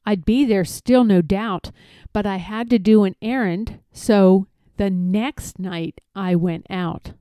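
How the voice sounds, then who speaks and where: Woman, children's storybook reader, unhurried, soft mellow tone, theatrical delivery. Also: mid-range voice, smooth, no room sound. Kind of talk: expressive children's read-aloud of rhyming stories.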